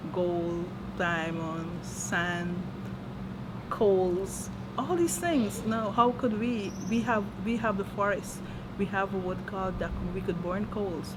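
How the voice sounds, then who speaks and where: A woman with a Guyanese accent, a village leader answering interview questions in a plainspoken, hesitant way. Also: mid-range voice. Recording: loud background noise.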